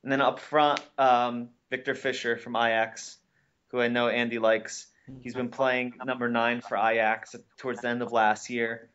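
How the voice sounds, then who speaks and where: Flat-toned male sports panellist, lo-fi. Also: medium pitch, normal speed, clean tone. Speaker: podcast panellist. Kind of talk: casual sports analysis.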